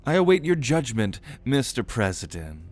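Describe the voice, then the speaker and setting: A man's voice: medium pitch, big pitch swings, normal speed, darkish tone, soft background music, lightly acted. A man playing a cocky, sardonic young prince at a mage academy in an audio roleplay, with a swaggering, theatrical manner. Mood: mock-polite. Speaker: ASMR roleplay voice actor.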